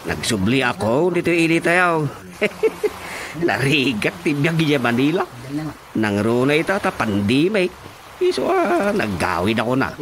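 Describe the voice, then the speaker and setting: Casting: amiable provincial man, Ilocano accent, very animated delivery, noisy ambience behind, radio drama. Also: a little high, measured pace. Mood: friendly. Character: friendly provincial man back from Manila.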